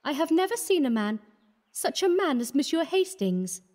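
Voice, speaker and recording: A man reading an audiobook, voicing an earnest, pleading young woman of the artists' quarter in a soft, reproachful manner. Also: sing-song, moderate pace. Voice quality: high and fluttering.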